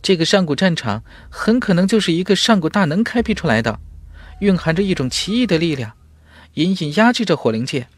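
A man's voice: sits high, sing-song, a little fast, dry recording, pitched above the performer's own voice. A man voicing an eager, respectful fire spirit servant who explains earnestly in an audiobook. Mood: urgent, deferential. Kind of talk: audiobook character voice.

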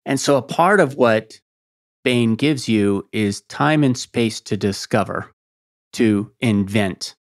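A man's voice: medium pitch, slow pace. A man hosting a podcast, asking probing questions in a conversational interview. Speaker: podcast host.

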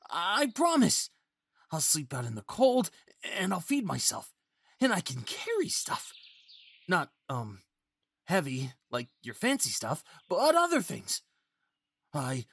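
Casting: male actor as a shy, naive young faun for fantasy audio roleplay, breathy, unhurried, stammering and eager. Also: sits high, fairly bright tone, no echo, full character voice. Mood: anxious pleading. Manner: stammering, hesitant, childlike eagerness. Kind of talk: ASMR fantasy roleplay, acted monologue.

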